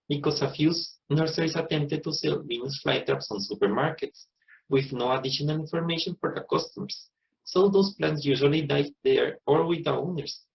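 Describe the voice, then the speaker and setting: A man's voice: fairly high pitch, vocal fry, muffled recording. A man in his thirties with a Costa Rican accent, a native Spanish speaker, giving a prepared personal narration as a plant nursery owner. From Costa Rica.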